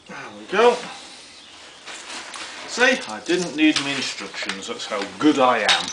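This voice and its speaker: A man's voice: mid-range voice, big pitch swings, measured pace. A British man giving a casual, jokey assembly commentary, muttering to himself as he works in a garage workshop. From United Kingdom. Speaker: vlogger. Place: indoors in a concrete-floored garage workshop. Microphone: camera mic a short distance away. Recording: roomy, distant mic, very noisy with clicks.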